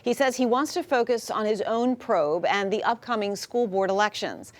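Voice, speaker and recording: A woman's voice: mid-range voice, moderate pace, slight background noise. A woman with an American accent reading scripted news as a television anchor.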